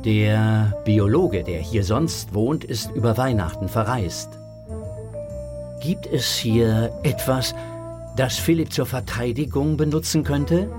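A man narrating a scripted children's audio drama in a measured, suspenseful way. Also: medium pitch, music in the background.

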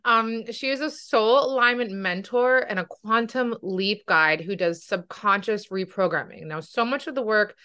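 A woman's voice: mid-range voice, moderate pace, hardly any pauses, pushed and bright, clear, resonant tone. A woman hosting a podcast as a weight loss coach, talking with enthusiasm and often tagging her points with "right?". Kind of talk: podcast interview.

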